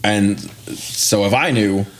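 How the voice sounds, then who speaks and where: A man in his forties with a fairly low voice talks as a film podcast co-host. His delivery is informal and conversational, with loose storytelling, casual swearing and a joking streak. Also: slow pace, slight background noise, poor audio. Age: 40s. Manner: informal, profane, jokey storytelling. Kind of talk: casual film review chat.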